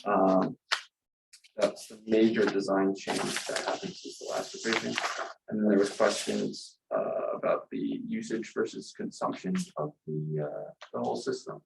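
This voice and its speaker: A man's voice: lowish voice, sing-song, normal speed, creaky. A man presenting a solar panel plan at a public meeting, hesitant and full of fillers. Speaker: solar project applicant presenting a design. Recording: echoey room with background noise.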